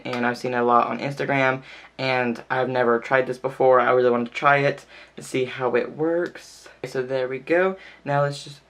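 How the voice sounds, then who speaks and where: A man talking through a makeup tutorial in a chatty, casual way, filling his speech with "like", his voice a little stuffy and nasal from sneezing. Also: mid-range voice. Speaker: beauty vlogger.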